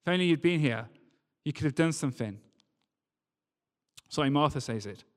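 A man's voice: fairly high pitch, animated, moderate pace. A man preaching a sermon, reading scripture and talking it through in a conversational, informal way. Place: large reverberant hall, church service. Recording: long hall reverb.

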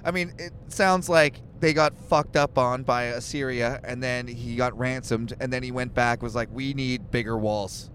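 A man's voice: mid-range voice, steady tone, moderate pace, hardly any pauses, a bit bright, a little hiss or hum. A man co-hosting a podcast in a moving car, reading scripture aloud and joking about it with irreverent, swearing asides. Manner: joking, swears freely, reads passages then riffs.

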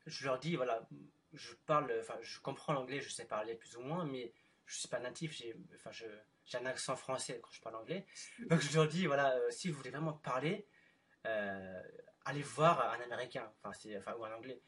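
A man hosting a casual chat, relaxed and encouraging, with many murmured replies. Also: mid-range voice, brisk. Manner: relaxed, frequent backchannel murmurs. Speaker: podcast host, teacher. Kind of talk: casual conversation.

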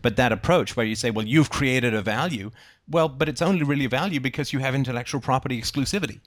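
A man talks quickly as the host of an informal intellectual discussion. His sound is dry, with little room echo, and he restates and probes each argument as he goes. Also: mid-range voice.

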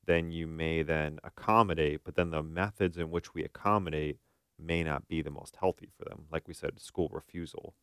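A man's voice: rumbling low, steady tone, moderate pace, fairly bright tone, clear, resonant tone, dry recording, clean studio sound. A man hosting a podcast in a casual conversational style, thinking aloud and often checking for agreement. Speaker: podcast host.

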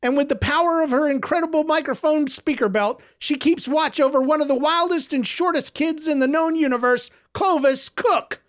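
Man voicing an excitable, teasing young schoolboy, high-pitched. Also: normal speed, hardly any pauses. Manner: exclamatory, mock-dramatic.

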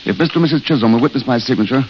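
Casting: male actor as courteous, fatalistic dreamer, mid-pitched literary delivery, vintage radio drama, muffled and hissy. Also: racing, lightly acted. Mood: fatalistic, romantic. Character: world-weary romantic idealist, eloquent and fatalistic. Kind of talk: 1930s-40s radio drama acting. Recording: hissy, dull old radio recording.

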